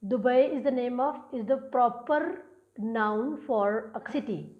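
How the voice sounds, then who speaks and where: Pakistani woman teaching grammar, dull lo-fi sound. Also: on the high side. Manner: explains slowly, restates points with small restarts. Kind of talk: recorded grammar lesson.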